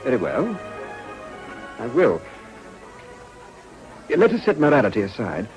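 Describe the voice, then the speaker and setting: A suave political gentleman in a British period drama. His upper-class English voice sits mid-range with very mobile intonation, and his delivery is measured, formal and insinuating. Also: mid-range voice, wildly swooping pitch, highs cut off, music in the background. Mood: grave, confiding.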